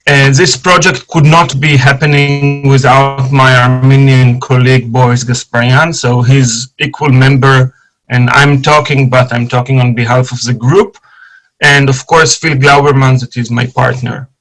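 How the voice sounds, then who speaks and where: A man's voice: mid-range voice, vocal fry, poor audio. Israeli man giving an online lecture. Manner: enthusiastic, fast when excited, frequent fillers and restarts. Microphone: video call.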